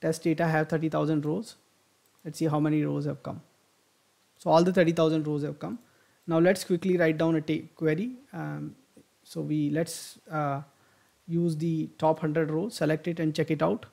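A man gives a software tutorial over a screen recording. His voice sits slightly high and has a gravelly, creaky edge. He talks through each step as he goes, with many fillers and self-corrections. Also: level tone, normal speed. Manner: thinks aloud with frequent fillers and restarts. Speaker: software instructor.

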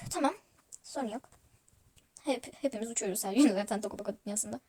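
A woman's voice: mid-range voice, a little fast, mellow, slightly rough. A woman improvising a voiced role-play story, speaking all the characters of a toy-world game video herself.

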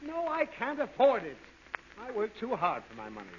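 A man's voice: high-pitched, wildly swooping pitch, moderate pace. An actor playing an exasperated, headachy father, groaning and pleading in 1930s radio comedy style. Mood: pained, weary. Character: exasperated, suffering, headachy father of little girl. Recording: muffled, hissy old 1930s radio broadcast.

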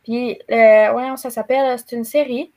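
A young woman with a high-pitched voice and a Québécois French accent. She chats in a casual way as a panelist on a video call, recorded by a computer mic in a room at home. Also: fairly even intonation, a little slow, darkish tone. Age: young adult. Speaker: talk show panelist. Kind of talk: casual chat.

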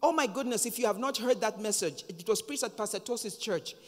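A Zambian woman in her fifties preaching a sermon from a pulpit on a handheld microphone, emphatic and dramatic, with long pauses. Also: a little high, expressive. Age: 50s. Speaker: pastor. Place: large church hall, on stage at a pulpit. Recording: long reverb of a church hall.

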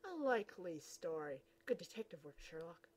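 A woman's voice: lowish voice, big pitch swings, moderate pace, a little mellow, pitched below the performer's own voice. A woman voicing a skeptical schoolkid who mocks in a dry tone. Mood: sarcastic. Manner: dry, mocking.

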